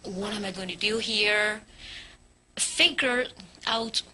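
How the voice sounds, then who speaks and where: A woman from Hong Kong chats as an interview guest, telling her story with many small restarts. Her voice is very bright and sharp. A faint hiss of background noise sits under it. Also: mid-range voice, takes it slowly, poor audio. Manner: chatty, storytelling with frequent restarts. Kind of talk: casual interview chat.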